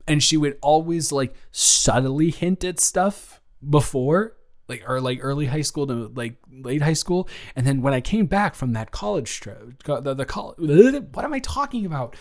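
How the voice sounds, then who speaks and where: A man's voice: fairly high pitch, lively intonation. A young man playing a nervous guy confessing love to a best friend, rambling and playful, in an improvised romantic audio roleplay. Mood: nervous, teasing. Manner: halting, rambling, self-interrupting, chuckling.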